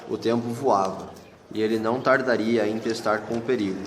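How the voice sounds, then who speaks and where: Brazilian male narrator for radio drama, lowish pitch, reverberant room sound, scripted delivery. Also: fairly even intonation, fairly quick, slight background noise. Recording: echoey hall, long reverb, music bed. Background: music.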